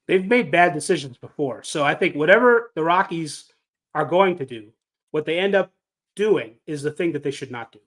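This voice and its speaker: A man's voice: fairly high pitch, animated, moderate pace, fairly bright tone. A man co-hosting a casual sports podcast, thinking aloud and musing over trade ideas. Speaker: podcast co-host.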